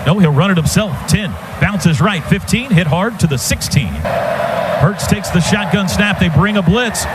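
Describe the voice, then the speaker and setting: A man's voice: high voice, expressive, a little slow. A man with an American accent calling live football on the radio in clipped, staccato phrases. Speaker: play-by-play sports announcer. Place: stadium radio booth. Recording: noisy live stadium radio broadcast.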